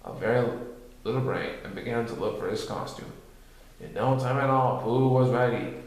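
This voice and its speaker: A man narrating a children's storybook as an expressive reader. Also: mid-range voice, moderate pace. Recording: strongly echoey room.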